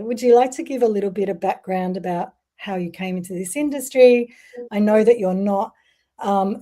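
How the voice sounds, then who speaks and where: Mellow-toned woman host, friendly and loose in her questions. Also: fairly high pitch. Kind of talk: interview hosting.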